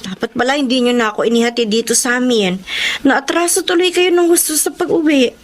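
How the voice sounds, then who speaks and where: High-voiced, gentle Filipino secretary. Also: female voice, brisk. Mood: polite. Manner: soft-spoken, respectful, hesitant. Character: polite, gentle young female secretary and daughter. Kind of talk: Filipino radio drama acting.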